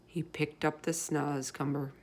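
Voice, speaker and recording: A woman storyteller reading a children's book aloud in expressive narration, with dramatic, drawn-out emphasis. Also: deep voice, noisy background.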